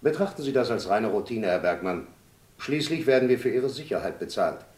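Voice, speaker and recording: A man's voice: mid-range voice, expressive, brisk, darkish tone, lightly acted. Distant, echoey man playing a security guard. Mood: businesslike. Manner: deferential, matter-of-fact. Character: dutiful, polite male security guard. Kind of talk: German radio crime play acting. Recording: echoey, distant from mic, faint noise.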